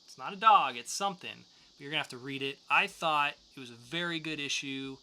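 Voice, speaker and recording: Bright-voiced man chatting on a podcast. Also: on the high side, fairly quick. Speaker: podcast co-host reviewing comics. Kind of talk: casual review chat.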